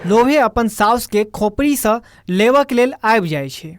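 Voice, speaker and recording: A woman's voice: fairly high pitch, lively intonation, measured pace. A woman with a Maithili accent narrating a scripted folk story as the storyteller.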